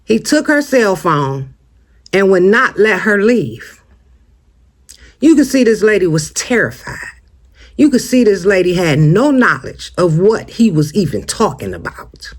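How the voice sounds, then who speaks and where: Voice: medium pitch, sing-song, a little slow. An American vlogger giving casual, opinionated commentary straight to viewers, emphatic and conversational, often posing rhetorical questions. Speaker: host of a true-crime commentary channel.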